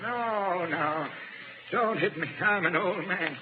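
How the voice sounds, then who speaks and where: High-pitched, terrified old beggar. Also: male voice, takes it slowly. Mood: terrified, pleading. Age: older. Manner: moaning, pleading, broken phrases. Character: battered old beggar man, delirious and terrified. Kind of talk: 1930s radio acting. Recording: tinny, noisy 1930s radio recording.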